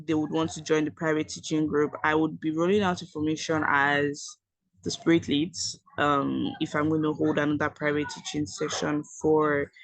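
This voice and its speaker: A woman's voice: deep voice, level tone, normal speed, no room sound, rough recording. A Nigerian woman who speaks Yoruba at home, giving a chatty screen-share tutorial with many fillers and self-corrections. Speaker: education YouTuber.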